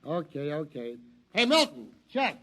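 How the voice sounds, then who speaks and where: An offhand dive-bar barkeep in a 1950s radio play. He is slangy and unhurried, with a fairly high, sharp-edged voice. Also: sing-song. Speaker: radio drama actor.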